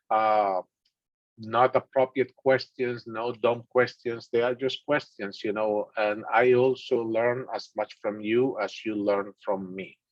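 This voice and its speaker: A man's voice: on the low side, dry recording, highs cut off. A middle-aged man from the Dominican Republic with a Dominican Spanish accent, patiently teaching a business class with many fillers. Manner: patient, repeats himself, fillers like "you know" and "okay". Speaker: instructor.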